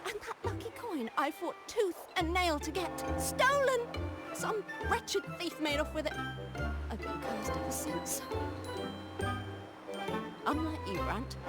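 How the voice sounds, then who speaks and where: A woman playing a dramatic, grumbling, luckless character in a video game, with a melodramatic manner. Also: high voice. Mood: indignant, sulky. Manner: melodramatic, exaggerated complaining. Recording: prominent music over voice. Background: loud game music.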